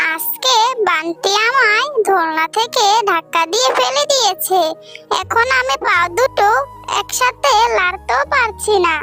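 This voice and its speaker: Cartoon cub girl, played by a woman: tiny, extremely high voice, childlike, whiny and coaxing, over music. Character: pleading, playful little girl animal cub. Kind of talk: children's animated cartoon dialogue.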